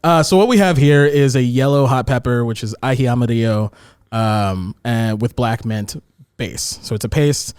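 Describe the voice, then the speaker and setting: A chef guest, a man, explains a dip recipe in loose, joking talk full of side comments. His voice sits at an ordinary middle pitch. The sound is dry and close, with no room in it. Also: normal speed, darkish tone, smooth, no echo. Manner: joking, rambling explanations with fillers. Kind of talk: casual cooking chat.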